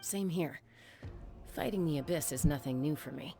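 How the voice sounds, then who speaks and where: A battle-hardened fighter, low-pitched, firm and plain-spoken. The delivery is stoic, resolute anime game acting with music behind. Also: female voice, normal speed, creaky, warm tone.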